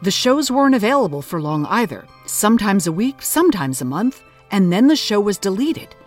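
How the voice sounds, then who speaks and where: An actress playing a thoughtful investigator who hosts and interviews in a documentary style, questioning and measured. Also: female voice, medium pitch, very animated, darkish tone, soft background music. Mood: curious, reflective. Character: inquisitive, measured investigator of obscure culture, interviewer. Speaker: host and interviewer.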